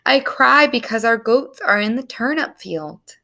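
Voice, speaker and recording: A woman's voice: a little high, lively intonation, unhurried, creaky, bright, forceful tone, exaggerated voice. A woman voicing a poor young boy who herds goats, earnest and pleading, in a storybook reading. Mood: tearful, pleading.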